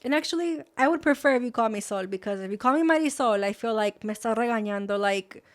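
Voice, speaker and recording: A woman's voice: on the high side, lively intonation, fast talker. Upbeat young woman hosting a video. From Los Angeles. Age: 20s. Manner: upbeat and chatty, drops in Spanish words. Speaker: host. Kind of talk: friendly scripted video introduction.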